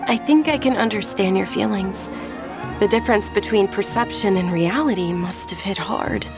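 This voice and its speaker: A woman acting as a refined young lady in a video game, with a mid-pitched voice. She is gentle, polite and earnest in her delivery. Soft music sits behind her. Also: normal speed, close to an everyday voice. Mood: sympathetic. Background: faint game music.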